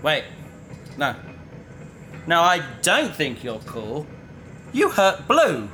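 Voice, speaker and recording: Dazed male researcher played by a man: injured, childlike, extremely slow delivery with huge pitch swings, comic sci-fi drama. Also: wildly swooping pitch, bright, forceful tone, slight background noise, exaggerated voice. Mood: woozy, candid.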